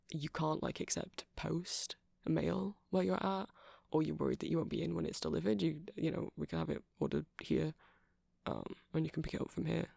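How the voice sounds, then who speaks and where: A man's voice: fairly high pitch, creaky, no room sound, studio quality. A man playing a nerdy vampire who keeps a music shop, rambling eagerly and apologetically in a casual ASMR roleplay. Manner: hesitant, apologetic, excitable rambling.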